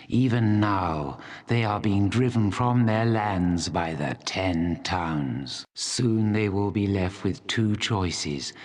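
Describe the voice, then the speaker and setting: Very deep, slow ancient dragon. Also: male voice, husky, heavily acted. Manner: slow, deliberate, solemn delivery. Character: ancient reborn dragon, proud, embittered and coldly menacing. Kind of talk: dramatic fantasy video game acting.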